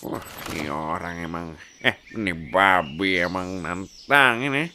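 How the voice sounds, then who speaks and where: A man's voice: deep voice, unhurried, very noisy. A man voicing a big, hungry, crude wolf who blusters in slang, in an acted bedtime story. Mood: blustering, menacing. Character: big hungry crude pet wolf, blunt, obedient to boss.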